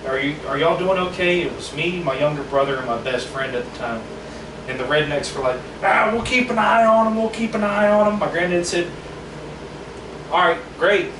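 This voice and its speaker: Profane animated young man, echoey room. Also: on the high side, normal speed. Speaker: band member. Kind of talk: casual chat. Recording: roomy, distant mic with noise.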